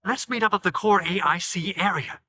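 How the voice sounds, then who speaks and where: A man playing an energetic, emphatic engineer who urgently rallies his crew in a video game. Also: high-pitched, brisk. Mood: determined, upbeat. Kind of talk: video game character acting.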